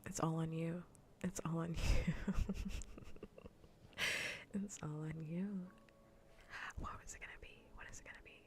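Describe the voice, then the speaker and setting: A woman livestream host speaking softly in a breathy, often whispered ASMR style, teasing and playful, close to the microphone. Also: sits low, flat delivery. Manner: teasing, playful, slow and repetitive. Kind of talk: soft-spoken ASMR gaming livestream chat.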